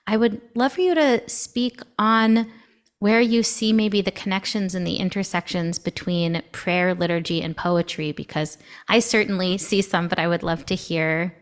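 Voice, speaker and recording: A woman's voice: lowish voice, fairly even intonation, normal speed. A woman hosting a podcast, who praises her guest and frames long, appreciative questions. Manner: warm, appreciative, asks long framing questions. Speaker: podcast host. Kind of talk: interview questions.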